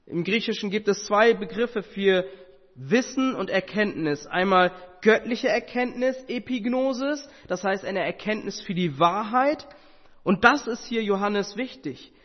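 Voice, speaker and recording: Earnest preacher in a reverberant hall. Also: male voice, sits high, normal speed. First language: Tamil. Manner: earnest, with rhetorical questions and hesitations. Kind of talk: sermon. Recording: muffled sound with long hall reverb.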